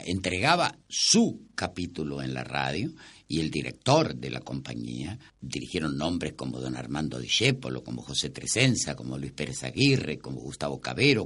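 A man speaks in a reflective interview, emphatic and measured, building by repetition. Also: rumbling low, fairly bright tone, husky. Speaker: interviewee recalling radio drama.

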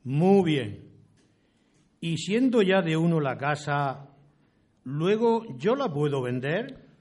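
Man playing a slow-talking rustic settler, higher-pitched. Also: big pitch swings, a little mellow, full character voice. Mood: questioning. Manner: rustic, old-fashioned turns of phrase. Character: respectful, inquisitive rustic male settler seeking land. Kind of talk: amateur historical radio drama acting.

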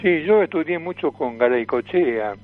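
An elderly man with a Rioplatense Argentine accent reminisces as a radio guest, rambling and halting with frequent fillers. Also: a little high, lively intonation, narrow, tinny audio, faint music behind. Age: 70s or older. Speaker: guest, veteran cartoonist, impressionist and tango singer.

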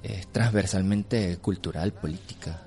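A man with a Venezuelan accent, an interview guest explaining his views emphatically and sometimes pausing to find words. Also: low-pitched, a little mellow. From Caracas, Venezuela. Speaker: film festival director, interview guest. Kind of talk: spontaneous radio interview, opinionated explanation. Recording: clearly audible background noise.

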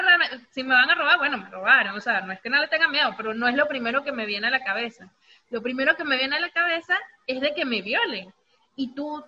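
A woman with a Venezuelan accent talking casually, telling things in an animated way with acted-out quotes, on a dull lo-fi recording. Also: high-pitched. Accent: Venezuelan Spanish. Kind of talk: casual conversational talk. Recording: dull lo-fi audio with faint noise.

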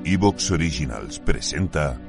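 Very deep male announcer over loud music. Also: expressive, unhurried, vocal fry. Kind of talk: slow dramatic title announcement.

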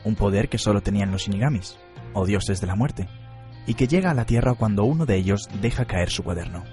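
Low-voiced man narrating radio drama. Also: lively intonation, a little fast, darkish tone, soft background music.

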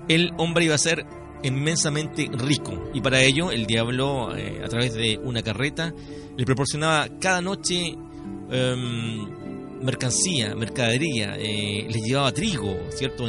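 Chilean male radio host over music. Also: medium pitch. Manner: hesitant, deliberate, with frequent tag questions. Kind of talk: ad-libbed radio introduction.

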